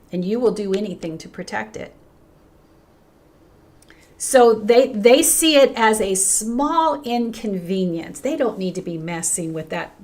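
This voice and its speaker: An older woman is talking on her own livestream, her voice worn hoarse and fading. She speaks with earnest emphasis, often stopping to restart a phrase, and her pitch lifts brightly when she greets people in the chat. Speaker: pastor hosting a livestream. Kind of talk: unscripted livestream talk, reading chat comments.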